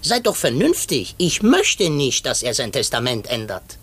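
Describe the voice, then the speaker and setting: A man's voice: medium pitch, wildly swooping pitch, unhurried, fairly bright tone, breathy edge, heavily acted. A man playing a vain, courteous foreign detective in a 1950s German radio play, self-assured and fussy.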